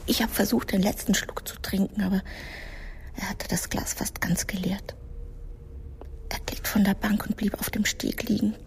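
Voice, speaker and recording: Radio drama casting: actress for fragile woman confessing from her sickbed, despairing, breathy whispered delivery. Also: medium pitch, fairly even intonation, moderate pace, long pauses, close to an everyday voice. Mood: weak, despairing. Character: frail, despairing woman patient confessing on oxygen.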